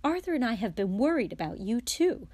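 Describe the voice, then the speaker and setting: A woman voicing a scolding, fussing but kind-hearted mother in a storybook reading, with loud, emphatic delivery. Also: fairly high pitch, big pitch swings, fairly quick, dry recording, pitched above the performer's own voice.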